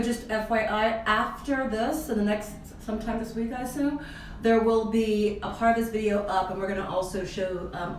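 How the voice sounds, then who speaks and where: A woman hosting an interview who gushes, repeats her praise and stacks several questions in a row. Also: medium pitch. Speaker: interview host. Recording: echoey room, distant mic, faint noise.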